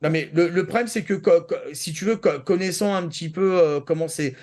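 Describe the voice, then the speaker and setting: Rambling, slangy man with lightly high voice. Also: brisk, darkish tone. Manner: slangy, rambling, restarts phrases emphatically. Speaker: panelist on an anime talk show. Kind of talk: casual opinionated chat.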